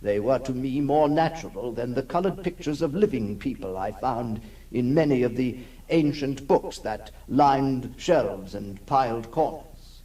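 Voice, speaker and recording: An actor playing a haunted, lonely male outcast in a halting, theatrical 1960s radio drama monologue, intense and tormented. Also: medium pitch, lively intonation, mellow, natural voice. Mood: brooding, wistful. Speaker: actor narrating as the character. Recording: noisy 1960s radio recording.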